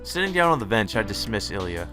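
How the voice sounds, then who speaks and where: A man's voice: fairly low pitch, sing-song, fast, over music. A man reading a visual novel aloud in first person as the hero, with sarcastic asides of his own. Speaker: let's play narrator.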